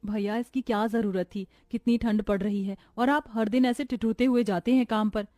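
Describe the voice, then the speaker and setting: A woman's voice: fairly high pitch, steady tone, normal speed, mellow, dry recording, studio quality, natural voice. A woman voicing a devoted young sister from a poor family in a cartoon story, pleading and emotional. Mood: tearful, tender.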